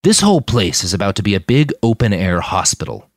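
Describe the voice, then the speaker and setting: Older army major, male narrator acting, audio fiction: exhausted, kindly, measured, plain-spoken, animated intonation. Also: on the low side, no room sound, clean studio sound. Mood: grave, frank.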